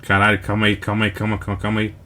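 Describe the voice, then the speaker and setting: A man's voice: fairly low pitch, completely flat delivery, very fast, sharp tone. A Brazilian man giving casual, off-the-cuff commentary as a reaction host, informal and slangy.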